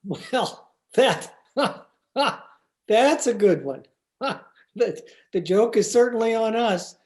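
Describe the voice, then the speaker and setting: A woman's voice: on the high side, animated, slow, darkish tone. A woman playing an earnest, mild-mannered teacher in an old-time radio comedy. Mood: amused.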